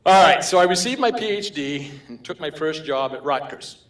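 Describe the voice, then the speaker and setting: A man's voice: a little high, lively intonation, moderate pace, fairly bright tone. An older man with a Maine accent giving a humorous award acceptance speech, telling anecdotes with chuckles. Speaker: award recipient. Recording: roomy hall echo.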